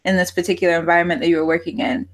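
A woman's voice: on the low side, level tone, very fast. A woman interviewing a guest, asking curious, conversational questions with casual fillers.

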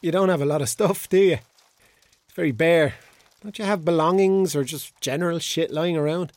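A man's voice: a little high, normal speed, no room sound, natural voice. An actor plays a hungover Irish man in an audio drama, a flippant charmer out of his depth. He speaks colloquially and awkwardly, with a bewildered air. The recording is dry and free of room sound. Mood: confused, awkward. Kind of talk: radio drama acting.

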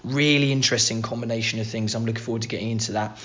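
A male podcast host with a hoarse, croaky voice, talking in an upbeat, informal way. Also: medium pitch, steady tone, racing, fairly bright tone, muffled recording. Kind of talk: casual podcast chat.

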